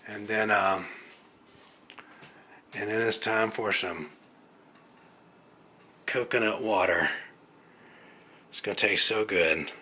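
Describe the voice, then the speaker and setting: Man speaking slowly, slightly raspy voice. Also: on the low side, steady tone, pushed and bright, slight background noise. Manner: slow, halting, thinking aloud with many fillers. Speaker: vlogger. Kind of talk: casual vlog monologue. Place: living room of an apartment at night.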